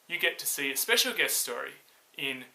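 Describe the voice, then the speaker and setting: A man hosting and telling a Bible story in a theatrical, expressive way, acting out the dialogue. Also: medium pitch, moderate pace, gravelly. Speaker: storyteller and show host. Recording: roomy echo, distant mic.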